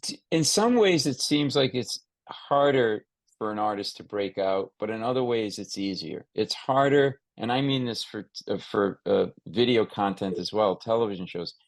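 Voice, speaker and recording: American guy podcast host, lowish voice, dry sound, emphatic, kinda blunt, keeps restarting his questions. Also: animated, normal speed, creaky, dry recording. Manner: emphatic, repeats and restarts phrases, long-winded questions. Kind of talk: conversational podcast interview.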